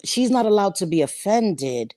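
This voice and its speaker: A husky woman in her fifties, speaking in a mid-pitched voice. She co-hosts a gossip stream and talks bluntly, swearing freely and mocking her targets. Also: big pitch swings, normal speed, warm tone. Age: 50s. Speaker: co-host. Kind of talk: casual gossip livestream chat.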